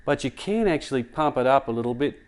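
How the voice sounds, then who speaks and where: A man's voice: medium pitch, animated, quick pace. A man giving a casual product demonstration, explaining things step by step in a conversational way. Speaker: product reviewer.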